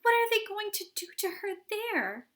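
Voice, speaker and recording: A woman voicing a panicking middle-aged mother who screeches and yells at those around her. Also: extremely high pitch, very animated, normal speed, pitched above the performer's own voice, heavily acted. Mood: shrill panic. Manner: screeching, frantic.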